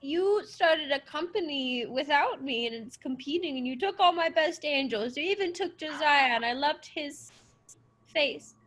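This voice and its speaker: A woman's voice: high voice, expressive, moderate pace, fairly bright tone. A woman playing a needy, sulky, dramatic God in an improvised comedy. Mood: hurt. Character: needy, vain, easily wounded God craving approval. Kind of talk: improvised comedy radio play.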